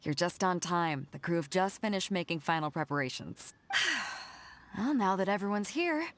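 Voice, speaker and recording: A woman's voice: lowish voice, lively intonation, moderate pace, noisy background, close to an everyday voice. A woman voicing a confident, teasing female ship captain in a video game.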